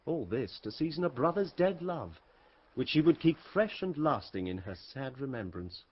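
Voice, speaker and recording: An actor playing a deferential gentleman messenger giving a formal, declamatory report in a Shakespeare radio drama. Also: mid-range voice, sing-song, normal speed, slightly rough, highs cut off.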